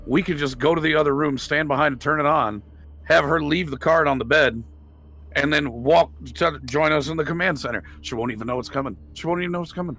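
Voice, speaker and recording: Bright, higher-pitched man, insistent and profane. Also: brisk. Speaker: tabletop roleplaying player. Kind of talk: casual in-character table chat.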